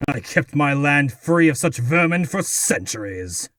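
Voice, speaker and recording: Casting: male actor as menacing noble swordsman, pompous, fairly high voice with big swings, very dry sound. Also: big pitch swings, measured pace, a bit bright, no room sound. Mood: haughty, threatening. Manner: pompous, theatrical. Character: haughty, menacing aristocratic male villain, murderous swordsman. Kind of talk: dramatic comic-dub voice acting.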